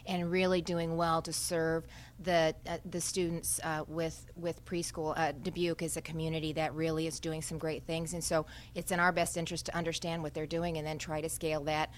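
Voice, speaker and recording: A woman's voice: low-pitched, flat delivery, brisk, a little hiss or hum. A woman official adding remarks at a governor's press conference.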